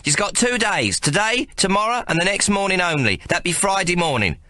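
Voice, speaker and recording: A man voicing a blunt young woman collecting a debt, speaking in rough, slangy street talk. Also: on the low side, animated, fairly quick, bright, forceful tone, no echo, clean studio sound, pitched above the performer's own voice. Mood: insistent.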